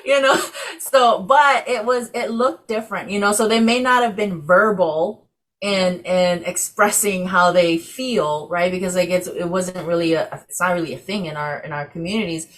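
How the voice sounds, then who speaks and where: A woman's voice: on the low side, normal speed, a bit bright. Chatty woman facilitator from Samoa, casual and filler-heavy, over a video call. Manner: chatty, many fillers and "you know". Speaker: class facilitator. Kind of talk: casual class discussion.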